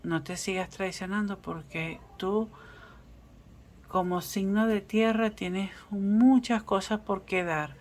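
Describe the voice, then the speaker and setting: A woman's voice: mid-range voice, takes it slowly. A Venezuelan woman with a Venezuelan Spanish accent, giving a casual, reassuring tarot reading and often restarting her sentences with fillers. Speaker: tarot reader.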